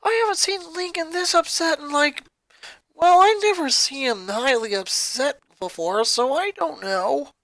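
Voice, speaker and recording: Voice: high voice, animated, a little slow, a bit bright, no room sound, pitched above the performer's own voice, exaggerated voice. A reader voicing a bossy, exasperated young sister in a story. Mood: urgent, concerned.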